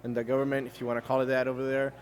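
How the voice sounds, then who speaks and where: A man born in Cuba with Spanish as his first language, speaking English with no Cuban accent and telling his story in a relaxed way, with lots of fillers. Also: mid-range voice, racing, slight background noise. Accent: American English, no Cuban accent. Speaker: guest. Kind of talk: casual interview chat.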